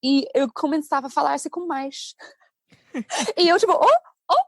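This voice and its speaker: An American woman, a native English speaker and English teacher, chatting as a podcast guest in a lively storytelling manner. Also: sits high, a little slow, no echo, clean studio sound. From United States. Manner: chatty, animated storytelling with laughs. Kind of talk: casual podcast conversation.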